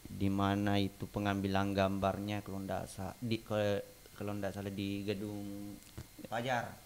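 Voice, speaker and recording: A man with a Bugis-Makassar Indonesian accent, recounting things casually as an interview guest in a halting way, repeating phrases. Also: on the low side, monotone. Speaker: podcast guest.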